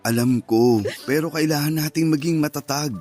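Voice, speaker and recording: A man playing a gentle, protective grieving husband in a Filipino radio drama, with a soothing, earnest manner. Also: a little high, moderate pace, faint music behind, lightly acted. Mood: consoling, self-blaming.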